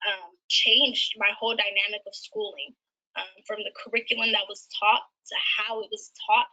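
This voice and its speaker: A young woman in her twenties speaks earnestly about her past. Her voice is very bright and sharp and sits a little high, and she often pauses on fillers as she reflects. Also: poor audio. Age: 20s. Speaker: former foster youth sharing her story. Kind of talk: personal testimony in a recorded video.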